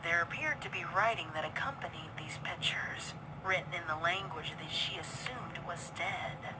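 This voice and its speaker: Woman narrating dark fiction over loud noise. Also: on the low side, normal speed. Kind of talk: dramatic scripted horror narration.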